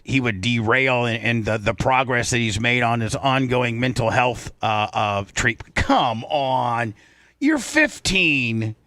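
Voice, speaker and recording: A man's voice: mid-range voice, expressive, slow pace, bright, forceful tone, no echo. An American man hosting a comic talk radio show, bantering and reading news aloud, often stumbling and repeating words.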